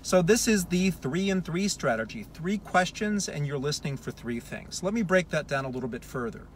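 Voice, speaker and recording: Guy with a slightly high voice explaining hiring advice, deliberate and emphatic, some background noise. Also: lively intonation. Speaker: hiring consultant giving advice. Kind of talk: advice talk to camera, explanatory.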